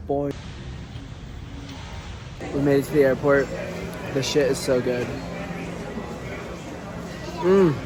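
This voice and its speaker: A man's voice: mid-range voice, noisy background. A man with a stuffy, congested voice from a cold, chatting casually as he narrates a travel vlog. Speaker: vlogger.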